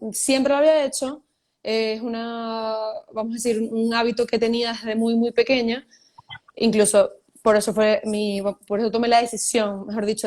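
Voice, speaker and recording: Chatty Venezuelan woman, fairly high voice. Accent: Venezuelan Spanish. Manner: chatty, laughs often, lively storytelling. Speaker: guest writer. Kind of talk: casual live video chat. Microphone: video call.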